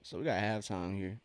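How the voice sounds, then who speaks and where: A thirty-year-old man hosting a sports watch-along stream, chatting casually in a laid-back, slangy way between bits of commentary. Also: on the low side. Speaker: livestream watch-along host.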